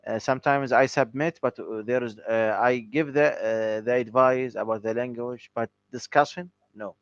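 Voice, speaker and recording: A man whose first language is Arabic, speaking hesitantly with many pauses and restarts as a learner in a tutoring session. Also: medium pitch, fairly even intonation, clear, resonant tone, no echo, dull audio.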